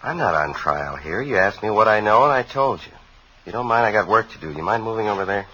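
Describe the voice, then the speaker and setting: A low, quick male voice with an American accent, a surly bar owner in a radio crime drama. He is curt, impatient and defensive, with a hard forceful edge, on an old, faintly noisy recording. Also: lively intonation, highs cut off. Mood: irritated. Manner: curt, irritable. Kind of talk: 1950s radio drama acting.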